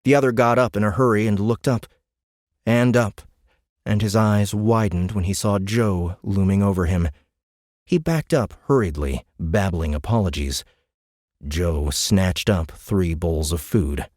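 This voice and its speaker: A man's voice: fairly low pitch, unhurried, darkish tone, no room sound, studio quality. A man narrating a science fiction audiobook in a scripted, dramatic storytelling style.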